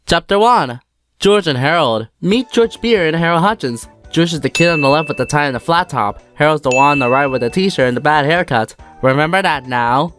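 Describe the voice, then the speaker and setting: A narrator reading a children's storybook aloud in an animated, theatrical way for a fan dub. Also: medium pitch, brisk. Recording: music behind the voice.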